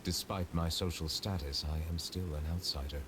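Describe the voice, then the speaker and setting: Deep, formal male chief justice, played by a man. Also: fairly even intonation, background noise, natural voice. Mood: composed. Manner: measured and formal. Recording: game music behind.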